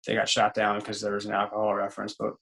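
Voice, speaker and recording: A man in his twenties with a raspy voice, a singer and former ballplayer chatting loosely as an interview guest, full of fillers and restarts. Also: lowish voice, monotone, quick pace, fairly bright tone. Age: 20s. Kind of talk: casual interview chat.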